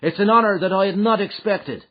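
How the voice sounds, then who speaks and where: A man voicing a bold, hearty young gangster in an audiobook, cocky and quick to answer back. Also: high voice, lo-fi sound, voice pushed up. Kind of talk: audiobook character acting.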